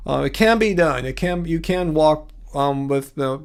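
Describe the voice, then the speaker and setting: A man's voice: a little high, animated, unhurried, clear, resonant tone. A man with a Californian American accent hosting a casual livestream, rambling and easygoing as he replies to viewers. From California, United States. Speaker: livestream host. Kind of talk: casual livestream chat, replying to viewer comments.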